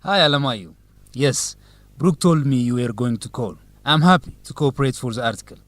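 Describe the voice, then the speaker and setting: An actor playing a dignified young student council president, answering formally in an educational radio drama. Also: male voice, mid-range voice, animated, fairly bright tone, dry recording, natural voice. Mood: formal, assured. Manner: formal, measured, politician-like.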